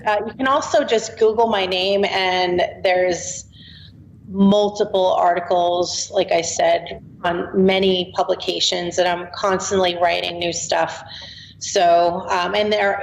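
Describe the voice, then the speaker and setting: A woman's voice: lowish voice, fairly even intonation, a little slow, creaky. A woman in her late forties, an author chatting as a podcast guest, talking easily and laughing now and then. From Boston. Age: 40s. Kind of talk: casual interview chat. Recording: roomy, dull remote audio with faint noise.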